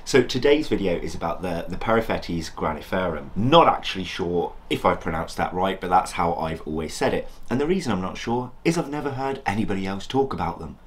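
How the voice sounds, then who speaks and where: Low, croaky-voiced man hosting a bug channel. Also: lively intonation, fairly quick, hardly any pauses. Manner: chatty, enthusiastic, self-deprecating. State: croaky from a sore throat. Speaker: channel host, insect keeper. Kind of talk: casual educational vlog. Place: indoor room full of insect enclosures.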